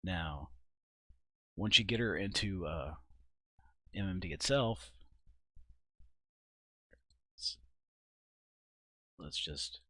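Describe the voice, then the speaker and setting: A man with a fairly low voice talks through a screen-recorded software demo. He is informal and halting, thinking aloud as he goes. The sound is fairly dry, as recorded indoors at a computer. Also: no room sound. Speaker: video creator and host.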